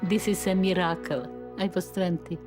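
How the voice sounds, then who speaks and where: An elderly woman in her nineties from Slovakia, with a Slovak accent, giving personal testimony in measured, halting phrases. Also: on the low side, fairly even intonation, over music. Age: 90s. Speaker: Holocaust survivor and memoirist. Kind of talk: personal testimony, partly read.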